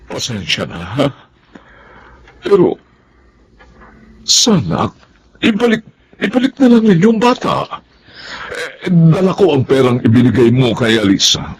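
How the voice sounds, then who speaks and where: A man's voice: medium pitch, slightly rough, heavily acted. Worried old grandfather with swooping voice. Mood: pleading. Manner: exclaiming, hesitant, emotional. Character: worried older father and grandfather, emotional, pleading. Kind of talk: Filipino radio melodrama acting.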